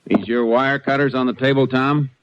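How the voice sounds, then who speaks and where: An actor playing a calm, laconic Texas Ranger with an American accent, measured and matter-of-fact in old radio drama style. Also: male voice, mid-range voice, a little hiss or hum, natural voice. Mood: calm questioning. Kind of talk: 1940s-50s radio drama acting. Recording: dull vintage radio recording.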